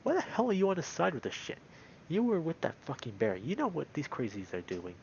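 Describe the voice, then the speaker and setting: A man's voice: a little high, huge pitch swings, brisk, creaky, dull audio, slight background noise, pitched above the performer's own voice, exaggerated voice. A man voicing an aggressive, paranoid wolf in a visual novel, growly and agitated. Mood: angry, accusing. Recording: music behind the voice.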